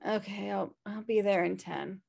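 Creaky, flippant slacker sister played by a woman. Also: mid-range voice, steady tone, normal speed, a little mellow. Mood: grumpy, dismissive. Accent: American. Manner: casual, dismissive. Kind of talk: comedy table read. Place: indoor room, video call.

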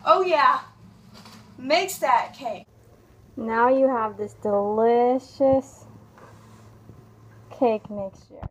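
A young adult woman giving a casual, comedic cooking tutorial in a home kitchen, with playful asides and drawn-out words. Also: fairly high pitch, a bit bright, breathy edge, poor audio. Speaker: host of a home cooking video. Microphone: camera on counter at about arm's length. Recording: background kitchen noise, clicks.

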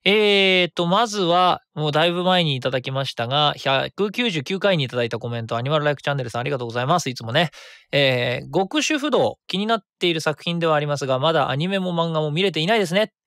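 A man's voice: fairly high pitch, lively intonation, fast, a bit bright, clean tone, no echo, clean studio sound. A man hosting his own web radio show, chatting and reading listener comments in a rambling way with many fillers.

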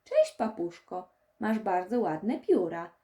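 A woman voicing a sweet, excitable little girl scooter in a children's storybook, with childlike exclaiming. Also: mid-range voice, slow. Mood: friendly.

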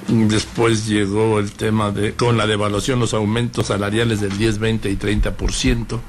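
A male radio host, talking in a measured way and listing dates and past events. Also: on the low side, level tone, a little hiss or hum. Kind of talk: radio interview conversation.

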